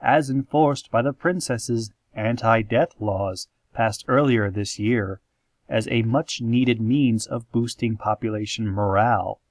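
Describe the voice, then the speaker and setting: A man playing a solemn, eerie pony radio host, reading scripted parody news in a measured, formal deadpan. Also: medium pitch, no echo, lightly acted.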